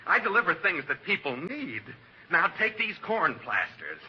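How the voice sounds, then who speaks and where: A man's voice: sits high, sing-song, moderate pace, pushed and bright, husky, exaggerated voice. An actor playing an effusive, melodramatic, dim delivery man in a 1940s radio comedy. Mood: eager, sunny. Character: gushingly happy, dim drugstore delivery man. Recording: muffled, noisy old radio recording.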